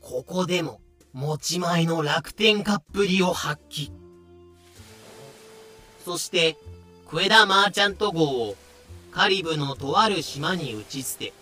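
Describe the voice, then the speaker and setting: Male narrator, comedic pirate drama, slightly high and forceful, over soft music. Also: expressive, a little slow, long pauses.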